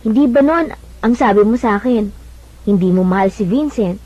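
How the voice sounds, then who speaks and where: An actress with a Filipino accent playing an earnest, worried younger sister who pleads, in a radio drama. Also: female voice, medium pitch, expressive, normal speed, warm tone, dry recording, close to an everyday voice. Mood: worried, pleading. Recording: dull lo-fi radio broadcast.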